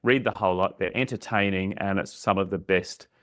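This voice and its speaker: A man from Queensland, answering interview questions in a relaxed, plain-spoken way. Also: sits low, brisk, dry recording, studio quality. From Queensland, Australia. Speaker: podcast guest.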